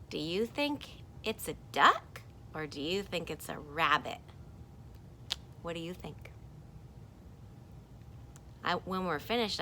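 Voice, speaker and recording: A woman teacher reading a picture book aloud to children, animated and encouraging, with playful sound effects. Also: deep voice, slight background noise.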